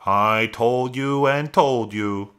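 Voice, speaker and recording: A man puts on a scolding old country aunt from a storybook, raised above his usual pitch. The delivery is sharp and nagging, stern and full of reproach, with a forceful, bright edge. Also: takes it slowly, clean tone. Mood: disapproving. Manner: sharp nagging rustic talk.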